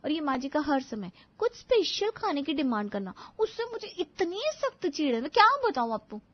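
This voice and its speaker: A woman voicing an exasperated, sharp-tongued young daughter-in-law in a cartoon family story, complaining emphatically and sarcastically. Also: sits high, very animated, moderate pace, highs cut off. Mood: irritated complaint. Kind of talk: animated family story acting.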